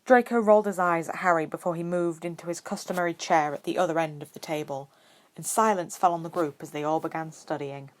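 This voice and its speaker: A woman narrating fan fiction as an audiobook reader. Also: fairly low pitch. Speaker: podfic reader.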